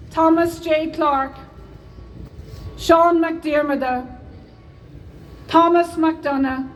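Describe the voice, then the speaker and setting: A woman with an Irish accent giving a formal, solemn public reading in a deliberate manner. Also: high voice, a little hiss or hum, rough recording. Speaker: speaker at a commemoration.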